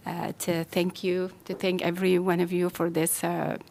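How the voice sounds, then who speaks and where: A woman's voice: on the low side, monotone, gravelly, a little mellow, slight background noise. A woman from Algeria, giving brief, hesitant thanks at a city council meeting. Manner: hesitant, many pauses and restarts. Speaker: community member at public comment. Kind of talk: brief thank-you remarks.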